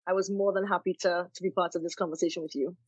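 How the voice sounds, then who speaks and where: A woman's voice: lowish voice, fairly even intonation, fast talker, breathy edge, no echo. A woman from Trinidad, a guest telling her life story in a reflective way, often saying "you know" and restarting phrases. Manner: warm-hearted, frequent "you know" and restarts. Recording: dull, dry sound.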